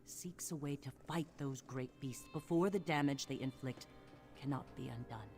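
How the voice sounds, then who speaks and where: A woman's voice: very deep voice, moderate pace. A woman voice-acting a solemn female warrior mentor in a fantasy video game. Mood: grave. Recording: game audio under loud music.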